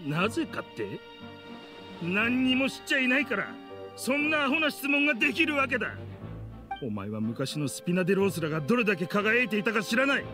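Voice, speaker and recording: A bitter, vengeful middle-aged man from an anime game, spits rough, contemptuous accusations. His fairly high voice swings widely in pitch, with music behind it. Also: sing-song, brisk. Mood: anguished accusation. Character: bitter, vengeful man in a grudge-driven confrontation.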